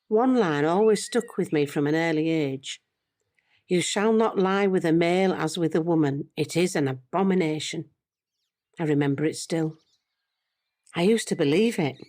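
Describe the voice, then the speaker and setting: An older woman playing a loving, regretful British grandmother in an audio drama, confiding her story in a reflective, measured way. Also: lowish voice, darkish tone.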